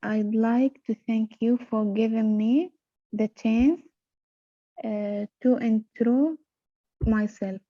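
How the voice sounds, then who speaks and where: A woman's voice: a little high, level tone, slow, no echo. A woman in her twenties with a Levantine Arabic accent, practicing English hesitantly and repeating after her tutor. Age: 20s. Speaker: student. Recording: dull, dry call audio.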